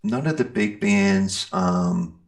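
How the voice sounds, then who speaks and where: A man with a very deep voice and a creaky, gravelly texture. He talks like a musician being interviewed, informal and rambling. His enthusiasm comes through as he recounts his experiences in casual language. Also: lively intonation, slow. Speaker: guest, metal band singer and guitarist. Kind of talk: casual chat, telling band anecdotes.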